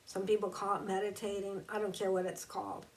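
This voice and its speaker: A woman speaking as a spiritual teacher in a reflective, conversational talk, slowing down to draw out key words. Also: medium pitch, flat delivery, distant mic, poor audio. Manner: slow, emphatic and conversational, drawing out key words.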